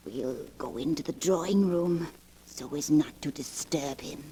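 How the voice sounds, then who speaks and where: An actress playing a tense, secretive elderly aunt with a trembling voice in a 1940s radio melodrama. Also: female voice, deep voice, unhurried. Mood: wistful, proud. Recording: noisy old 1940s radio recording.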